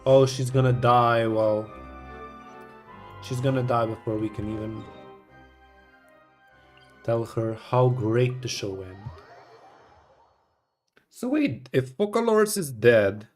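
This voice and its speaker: A male game streamer gives casual, slangy live reactions. His delivery is very animated but unhurried, full of exclamations, with faint game music behind him. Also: mid-range voice.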